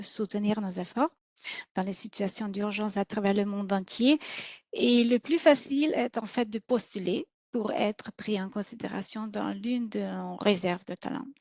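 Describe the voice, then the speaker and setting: Female co-presenter, mid-pitched, measured and explanatory, dry close sound. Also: darkish tone, no echo. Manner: measured, explanatory, some fillers. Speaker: presenter, co-host of the session. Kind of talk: webinar presentation.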